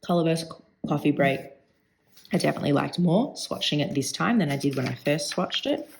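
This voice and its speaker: Croaky woman, heavy vocal fry, casual rambling chat, thinking aloud. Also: sits low, expressive, fairly quick, darkish tone, slight background noise, rough recording. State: croaky voice today. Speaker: stationery vlogger.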